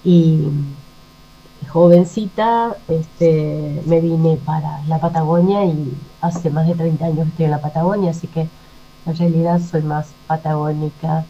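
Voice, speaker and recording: A middle-aged woman with an Argentine accent, answering reflectively in a conversational interview, pausing and searching for words. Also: sits low, fairly even intonation. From Argentina, Buenos Aires province. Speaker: interview guest, poet. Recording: muffled lo-fi with mains hum.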